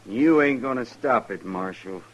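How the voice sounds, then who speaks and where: An actor with an American accent playing a hot-tempered, possessive rancher in a 1950s radio western, curt and rough-spoken. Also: male voice, mid-range voice, expressive, unhurried, lo-fi sound, natural voice. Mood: angry. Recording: old radio recording with faint noise.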